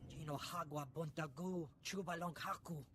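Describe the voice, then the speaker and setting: A woman's voice: sits low, steady tone, normal speed, fairly bright tone, a little hiss or hum. A woman voicing a female alien informant who speaks in a fluid, singsong alien tongue, in a video game. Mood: chattering.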